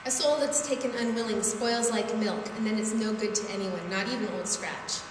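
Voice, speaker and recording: A woman's voice: medium pitch, steady tone, normal speed, music in the background, natural voice. A woman reading the lines of a stern old grandmother with a thick accent, giving a solemn warning, in an echoey hall away from the mic. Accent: thick foreign accent.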